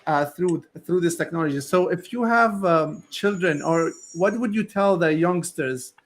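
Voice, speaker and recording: Earnest, slightly high-voiced male host. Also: a little slow. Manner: earnest, with frequent restarts. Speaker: podcast host. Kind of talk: interview questions and commentary.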